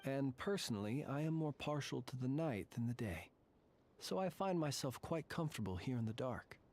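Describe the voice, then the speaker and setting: An actor playing a solemn, formal and courteous guardian of haunted tombs in a video game. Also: male voice, medium pitch, expressive, a little mellow. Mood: composed. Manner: formal, measured, ornate courteous speech. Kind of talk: video game character dialogue.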